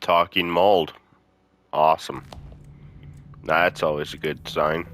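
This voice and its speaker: A man's voice: a bass voice, little pitch movement, slow pace, a bit bright. A man hosting a game playthrough with casual, sweary commentary, muttering his reactions to himself. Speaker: gaming video host.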